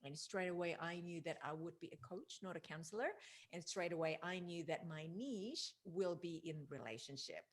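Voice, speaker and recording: A middle-aged woman from Indonesia hosting a casual podcast interview, enthusiastic and quick to laugh. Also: on the low side. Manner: enthusiastic, laughs often, warm affirmations. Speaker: podcast host, relationship coach.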